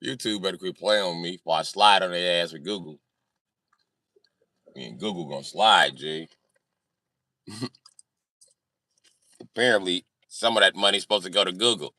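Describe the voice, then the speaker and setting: A man's voice: deep voice, normal speed, long pauses, bright, forceful tone, no echo, clean studio sound. A man chatting casually on a livestream in a brash, joking, slangy way with lots of swearing. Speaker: livestream host reading and answering comments. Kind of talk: casual profane livestream chat.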